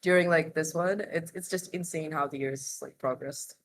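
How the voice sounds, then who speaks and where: A woman's voice: deep voice, normal speed. A woman co-hosting an esports podcast, casually discussing competitive gaming news.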